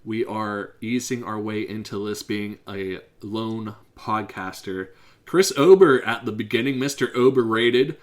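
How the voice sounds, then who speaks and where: Hoarse Pittsburgh man rambling on a podcast. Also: on the low side. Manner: rambling and unscripted, drifts into fast talk. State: hoarse from a bad cough. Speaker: podcast host. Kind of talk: casual solo podcast monologue.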